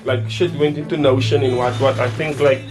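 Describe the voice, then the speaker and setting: A man's voice: mid-range voice, flat delivery, a little fast. A man whose first language is Afrikaans, a musician guest, talking casually in a rambling, profane way full of fillers. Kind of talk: casual interview chat. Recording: music playing behind.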